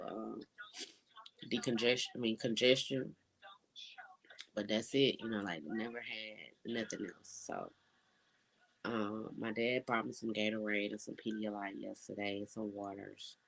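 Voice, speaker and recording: A young adult with a congested, stuffy nose talks at a middle pitch with a gravelly edge. The delivery is laid-back and rambling, full of fillers, like a casual vlog check-in. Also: a bit bright, slight background noise, low-quality recording. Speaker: vlogger.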